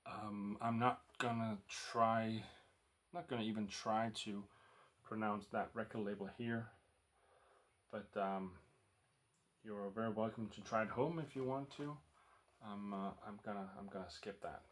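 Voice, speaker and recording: A man with a somewhat low-pitched voice talks casually at home. He speaks haltingly, with frequent ums, uhs and restarts. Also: fairly even intonation, fairly bright tone. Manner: hesitant, many ums and restarts. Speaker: record collector vlogger. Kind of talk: casual talk to camera. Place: home room lit by a lamp.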